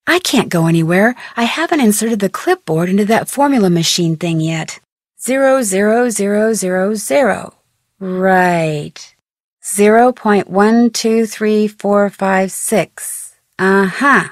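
A plucky teenage girl detective from a video game. She thinks aloud with curiosity and wry humor, in a mid-pitched voice. The recording is very dry and close. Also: no echo. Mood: wry, determined. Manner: wry, curious, self-talking. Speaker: voice actor of a video game heroine.

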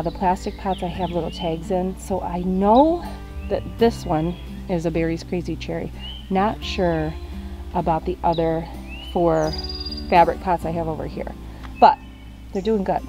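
A young woman gives a relaxed, chatty tour of her backyard garden, holding the camera and pointing out plants as she walks. Her voice sits a little low and sounds mellow and warm. Soft music plays faintly in the background. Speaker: gardening vlogger. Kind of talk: casual walking garden tour. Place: outdoor backyard vegetable garden. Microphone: handheld camera.

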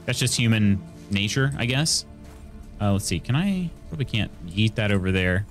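A man's voice: lowish voice, lively intonation, normal speed. Midwestern streamer dude in his 30s, chatty, game music faint underneath, close mic at home. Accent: Midwestern American, Indiana. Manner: chatty, reacting to game while reading chat. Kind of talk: casual gameplay commentary and chat. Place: small room at home, indoors. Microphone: studio mic on arm near face.

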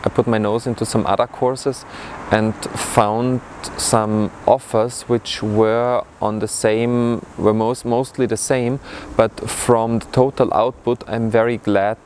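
A young man with an Austrian accent speaking to camera outdoors about his studies, often pausing to find his words. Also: medium pitch, flat delivery, a little mellow, slight background noise. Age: young adult. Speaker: international student. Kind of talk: testimonial to camera. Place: outdoors in a city courtyard. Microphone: lapel mic.

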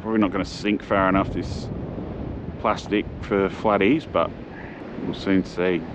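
An Australian man chatting casually as he films himself fishing from a seaside jetty, relaxed and full of slang. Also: low-pitched, a little slow. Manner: laid-back, chatty, slangy. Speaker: fishing vlogger. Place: outdoors on a jetty by the sea. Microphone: camera-mounted mic, first-person view. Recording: dull outdoor camera audio with wind noise. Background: wind and water.

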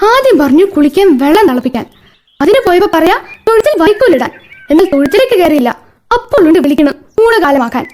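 Radio play: actress voicing a cheeky grumbling servant girl, high and lively. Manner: complaining, sulky. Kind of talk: radio drama acting.

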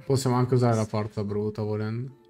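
Italian man, twenties, game streamer, soft dark tone, casual commentary, faint music. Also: fairly low pitch, level tone, brisk. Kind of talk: casual live reaction and translation commentary. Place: small room at home.

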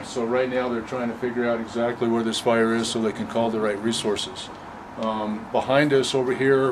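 A man in his fifties giving an informal, matter-of-fact on-camera explanation of his workplace as a fire staff officer, with halting delivery. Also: medium pitch. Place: busy open dispatch office, indoors. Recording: clear background noise, air-conditioning hum.

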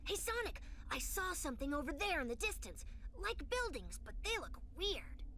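A woman's voice: extremely high pitch, sing-song, moderate pace, sharp tone, slight background noise, full character voice. A woman voicing a small, clever young boy fox sidekick in a video game, earnest and inquisitive. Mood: curious. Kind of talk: animated video game cutscene acting.

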